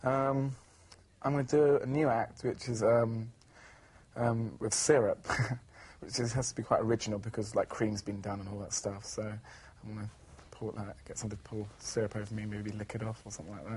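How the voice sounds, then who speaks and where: A man's voice: lowish voice, flat delivery, normal speed, a little mellow, husky. A young man in an interview, talking casually with giggles and hesitations. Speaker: amateur stripper interviewee.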